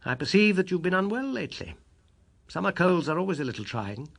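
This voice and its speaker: A man voicing a brisk, self-assured British gentleman detective in an audiobook, high and somewhat strident, with a quick, incisive manner. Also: big pitch swings, gravelly, exaggerated voice. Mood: amused, confident.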